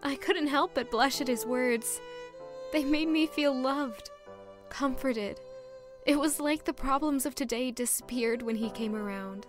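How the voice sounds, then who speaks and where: Woman acting a high-voiced, unhurried young maid. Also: faint music behind. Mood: tender, reflective. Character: sweet, lovestruck, put-upon young maid and narrator. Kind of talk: audio drama acting with first-person narration.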